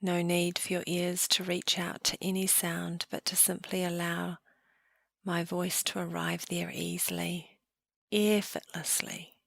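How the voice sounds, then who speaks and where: A woman leading a guided meditation in a calm, gentle way, with soft trailing phrases. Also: fairly low pitch, a little slow, vocal fry, no echo. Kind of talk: guided meditation, slow soothing narration.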